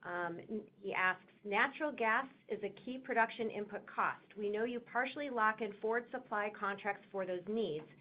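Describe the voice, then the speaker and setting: A woman's voice: medium pitch, moderate pace, few pauses. A woman moderator on an earnings call, reading investor questions aloud. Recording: muffled phone line, faint noise.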